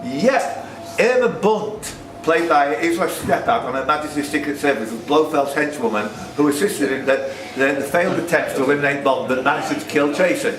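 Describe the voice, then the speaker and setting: A man's voice: fairly high pitch, normal speed, hardly any pauses. A man hosting a live quiz, reading out questions and bantering in a teasing, jokey way. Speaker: quiz master. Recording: roomy, noisy recording from a distance.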